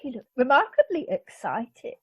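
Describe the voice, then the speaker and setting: A woman's voice: high-pitched, very animated, normal speed, darkish tone, breathy edge, dry recording, muffled recording, exaggerated voice. A woman acting a prim, haughty society lady in an amateur radio play, formal in manner.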